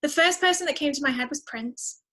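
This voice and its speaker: Bright-voiced woman chatting as podcast guest. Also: sits high, fairly quick. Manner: effusive, emphatic, repeats words for emphasis. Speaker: interview guest, musician. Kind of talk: casual podcast interview chat.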